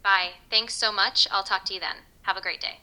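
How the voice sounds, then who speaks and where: A woman's voice: mid-range voice, fairly even intonation, a little fast, pushed and bright. A synthetic woman's voice playing a friendly, curious boutique owner in a conversational phone role-play, polite and agreeable. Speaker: AI voice assistant in a sales call role-play.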